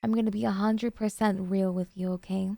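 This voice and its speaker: A woman playing a doting, jealous yandere girlfriend in an ASMR roleplay, coaxing and sweetly earnest with hesitant pauses. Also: medium pitch, flat delivery, gravelly, dark tone, dry recording. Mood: earnest, possessive.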